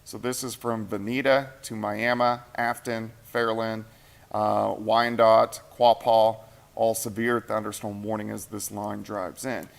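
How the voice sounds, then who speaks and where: A man's voice: fairly low pitch, level tone, measured pace, gravelly. A man doing live late-night weather coverage in a TV studio, talking casually and thinking aloud as he reads viewer comments, tired and hungry. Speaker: meteorologist. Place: TV station weather center. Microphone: shared mic.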